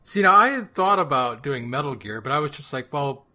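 A man from Canada co-hosting a podcast, trading wry jokes and quick offhand opinions in casual chat. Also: fairly high pitch, expressive, bright, forceful tone. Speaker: podcast co-host.

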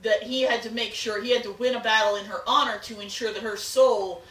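A woman's voice: a little high, steady tone, brisk, a bit bright. A woman co-hosting a podcast, casually discussing a television episode. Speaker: podcast co-host. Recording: roomy echo, distant from mic.

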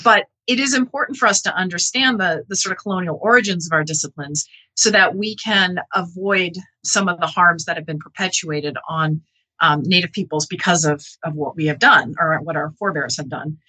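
A woman's voice: on the low side, brisk, pushed and bright, slightly rough, low-quality recording. A middle-aged woman, a researcher interviewed as a guest, speaking in an earnest, reflective and emphatic way. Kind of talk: thoughtful interview talk.

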